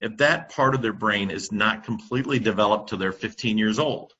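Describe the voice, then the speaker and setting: Husky man presenting, with lots of fillers. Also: lowish voice, pushed and bright, dull audio. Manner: halting, many fillers and restarts. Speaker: company presenter on classroom audio. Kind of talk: webinar presentation. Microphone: computer microphone.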